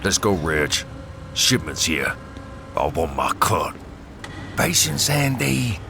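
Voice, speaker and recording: A man playing curt, menacing gangland bosses in a confrontation, in a dark audio drama. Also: deep voice, sing-song, takes it slowly, gravelly, noisy background, full character voice. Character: tense gangland bosses squaring off in a warehouse.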